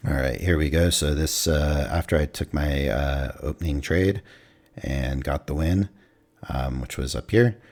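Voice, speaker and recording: Man, very deep and breathy, going hoarse; rambling desk-mic trade recap. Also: a little mellow. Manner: rambling, many fillers and restarts. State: losing his voice, clearing throat. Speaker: futures trader reviewing his trades. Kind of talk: casual screen-recorded trade review.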